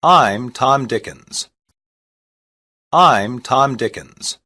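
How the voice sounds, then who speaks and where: A reader saying short English practice sentences slowly and evenly, each one twice, for a language lesson. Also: medium pitch, expressive, bright, forceful tone. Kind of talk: slow repeated phrase reading.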